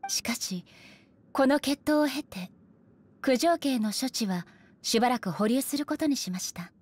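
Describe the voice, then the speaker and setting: An actress playing a stern, dignified female ruler in an anime game, speaking formally and with measured authority. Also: sits high, lively intonation, a little mellow. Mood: stern, commanding. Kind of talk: Japanese anime game voice acting.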